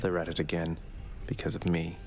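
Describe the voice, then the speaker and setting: An actor playing a young underworld prince in a video game, dry and easygoing, with a casual, understated delivery. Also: male voice, low-pitched, monotone, brisk, lightly acted. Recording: music behind the voice.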